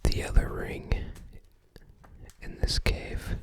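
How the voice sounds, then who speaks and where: An ASMR creator whispering casual, musing commentary on a game guide, often tentative while recalling details. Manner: unhurried, musing, tentative recollection.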